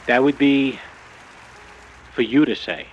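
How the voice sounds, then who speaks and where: Wry noir sidekick, dull muffled sound. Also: male voice, mid-range voice, animated, slow pace, faint music behind. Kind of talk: vintage noir audio drama acting.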